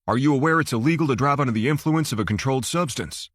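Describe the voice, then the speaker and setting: A stern, officious male trooper from a thriller audiobook, played by a male narrator. His voice is husky and very dry, recorded close. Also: medium pitch, fast talker, fairly bright tone, dry recording, close to an everyday voice.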